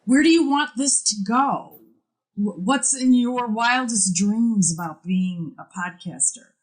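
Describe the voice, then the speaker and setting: A woman's voice: on the high side, slow, poor audio. A middle-aged woman on a podcast panel, speaking reflectively and repeating her questions for emphasis. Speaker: podcast guest. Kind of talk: casual group chat.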